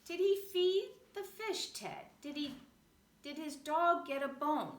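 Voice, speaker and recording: A woman reading a children's picture book aloud in an expressive, rhythmic way. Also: high-pitched, slow pace. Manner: animated, rhythmic rhyming delivery. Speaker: storybook reader. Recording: roomy echo, faint background noise.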